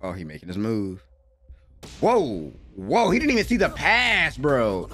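A young American man giving excited, slangy, exclamatory live reaction commentary at a close desk mic in a room at home. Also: mid-range voice, unhurried. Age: young adult. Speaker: reaction video host. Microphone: close desk microphone.

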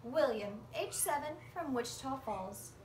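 A woman's voice: high-pitched, expressive, unhurried, darkish tone. A woman voicing eager, earnest young children reading out their letters in a storybook. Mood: eager, curious. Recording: roomy, distant phone recording with faint noise.

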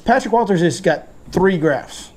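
A man, hosting a casual fishing seminar and talking in animated bursts in a tackle shop. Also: high voice, a crowd in the background. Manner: animated, gestures a lot, fast bursts. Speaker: fishing guide and seminar host. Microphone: lapel mic.

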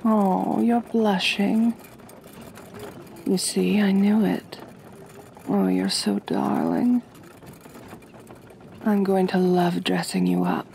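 A woman playing a gentle, doting aristocratic vampire mistress in an ASMR roleplay, speaking softly and breathily with genteel, soothing command. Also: mid-range voice, flat delivery, slow, gravelly, long pauses, a little hiss or hum. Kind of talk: ASMR roleplay, intimate acting.

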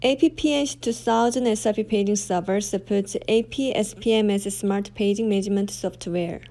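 A woman's voice: medium pitch, fairly quick, mellow. Woman narrating a product demonstration, formal.

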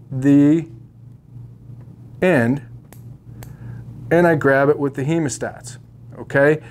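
A middle-aged man giving a casual, opinionated fly fishing tutorial to camera in a shop studio, with plenty of ums and uhs, on a lapel mic. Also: a little high.

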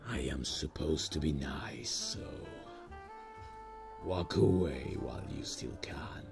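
A man voicing a proud, grumpy demon on an awkward date, deadpan and menacing with hesitant phrasing, in an ASMR roleplay. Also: very deep voice, slow, vocal fry, music in the background, exaggerated voice.

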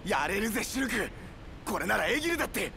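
A man playing a brash, hot-blooded young fighter in an anime-style video game, rough and excitable. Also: sits high, fast, noisy background, heavily acted. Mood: excited.

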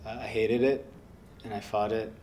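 Soft-spoken man with a lowish voice. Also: level tone, moderate pace, slight background noise. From United States. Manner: soft-spoken, rambling with many fillers. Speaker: interviewee, guitarist. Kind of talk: relaxed interview. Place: indoor workshop studio.

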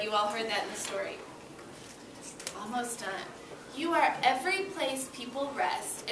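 A young woman, an elementary teacher, leading an interactive read-aloud for small children in an encouraging, expressive way. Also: on the high side. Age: young adult. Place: classroom with children seated on the floor. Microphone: far camera. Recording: roomy, noisy classroom, distant microphone.

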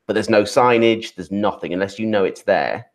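A man's voice: fairly low pitch, moderate pace. A man in his thirties wearing headphones, telling a rambling, friendly story on a video call from home. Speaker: co-host. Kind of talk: casual chat on a video call. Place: room at home.

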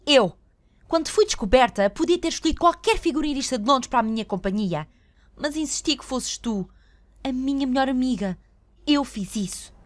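A woman with a European Portuguese accent voicing a selfish, haughty young theatre star in an animated film dub. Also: high voice, moderate pace, no room sound. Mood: self-important, cold. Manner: haughty, commanding. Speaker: fan dub voice actor.

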